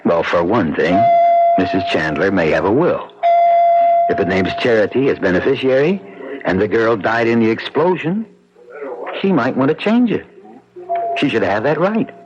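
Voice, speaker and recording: A man's voice: fairly low pitch, expressive, normal speed, pauses often, close to an everyday voice. An actor playing a worried, principled male chief of staff at a hospital in a 1970s radio drama, grave and emphatic. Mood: firm, suspicious. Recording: dull lo-fi radio recording, crowd murmur behind.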